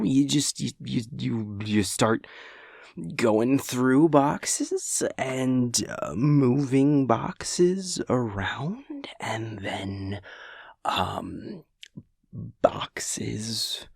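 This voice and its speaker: A man playing a chaotic, nervous, evasive boyfriend in a roleplay audio, stammering and rambling with halting hesitations. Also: medium pitch, gravelly, darkish tone, husky, no room sound, studio quality, exaggerated voice. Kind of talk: improvised-sounding roleplay audio monologue.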